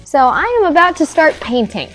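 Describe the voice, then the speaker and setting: A woman's voice: high voice, animated, brisk, fairly bright tone, soft background music, low-quality recording. Young female DIY vlogger, filler-heavy casual chatter, dry cough, recorded at home. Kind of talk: casual chat and talk-through of a DIY task. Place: home indoors.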